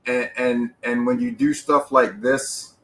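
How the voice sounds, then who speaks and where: A man hosts a podcast interview in an even, mid-range voice. He leans into his points with emphatic, repeated advice and plenty of "you know"s. He has an easy conversational style. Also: mid-range voice, measured pace. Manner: emphatic, repetitive advice-giving. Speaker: podcast host.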